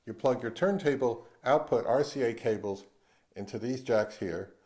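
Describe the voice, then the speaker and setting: A man's voice: medium pitch, normal speed, warm tone. Male reviewer and host, mid-range voice, delivering scripted narration for a gear channel.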